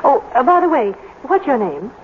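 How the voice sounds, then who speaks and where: An actress playing an earnest, kindly playwright's wife in a polished, theatrical old radio drama manner. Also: female voice, sits high, big pitch swings, moderate pace. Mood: friendly, curious. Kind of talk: 1950s radio drama acting, narrating and in dialogue. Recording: muffled, narrow-band old radio broadcast with noise.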